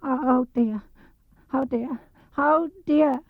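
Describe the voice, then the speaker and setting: A man's voice: very high-pitched, very slow, no room sound, narrow, tinny audio, voice pushed up, heavily acted. A man voicing a small, timid, anxious piglet from a storybook, squealing and muddling his words in fright. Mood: anxious dread. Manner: stammering, jumbles words when frightened.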